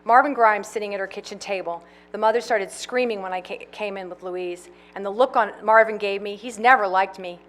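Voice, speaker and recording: A woman's voice: medium pitch, a little fast, few pauses, fairly bright tone, close to an everyday voice. A woman voicing a weary middle-aged man who answers defensively.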